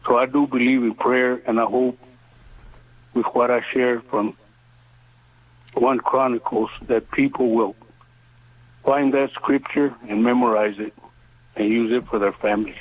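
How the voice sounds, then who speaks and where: A man in his seventies calling in to a radio show, speaking earnestly in halting, deliberate phrases over the telephone. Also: medium pitch, steady tone. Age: 70s. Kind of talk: earnest phone-in testimony and prayer request. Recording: narrow tinny phone line.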